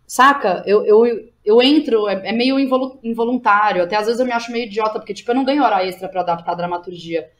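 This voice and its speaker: A woman's voice: mid-range voice, fast, few pauses. A Brazilian woman speaking as an interview guest, in casual, slangy talk with frequent check-in tags. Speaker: interview guest, playwright, director and drama teacher.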